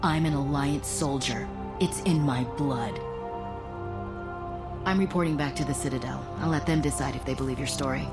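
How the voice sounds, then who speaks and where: An actress playing a proud, hurt, loyal soldier in a video game, speaking earnestly and accusingly. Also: female voice, extremely low pitch, normal speed. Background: loud music.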